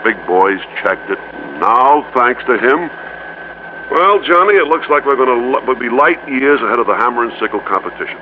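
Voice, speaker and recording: A male actor plays an affable, well-to-do American executive in a classic radio play. His pitch moves around a lot as he talks in an emphatic, chummy way. The sound is dull, with obvious old-recording noise. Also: expressive, normal speed, close to an everyday voice. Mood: confiding, excited. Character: affable, prosperous businessman, eager and slightly secretive. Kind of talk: 1950s-60s American radio drama acting.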